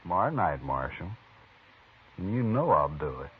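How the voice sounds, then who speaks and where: Hard-headed revenge cowboy, low voice, blunt threats, tinny old radio. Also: male voice, sing-song, moderate pace, background noise. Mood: menacing. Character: grim vengeful male frontiersman, stubborn. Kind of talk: 1950s radio acting.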